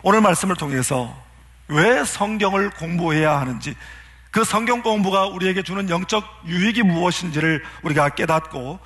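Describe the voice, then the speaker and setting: A man's voice: fairly high pitch, a bit bright. A man who is a pastor, preaching a sermon in an earnest way and putting rhetorical questions to his congregation.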